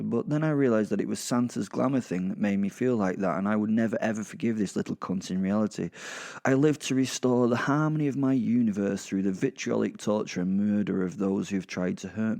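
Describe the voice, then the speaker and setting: A man's voice: on the low side, fairly quick, vocal fry, mellow. A British man voicing a sardonic, vengeful, foul-mouthed werewolf who narrates a horror-comedy audio drama in a rambling, menacing way. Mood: bitter menace.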